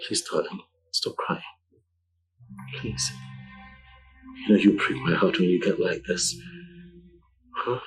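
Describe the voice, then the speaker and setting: A man's voice: lowish voice, sing-song, slow pace, long pauses, over music, rough recording. An actor playing a devoted husband who soothes and pleads with his distraught wife. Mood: pleading. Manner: soothing, pleading, repeats himself gently. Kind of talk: film drama acting.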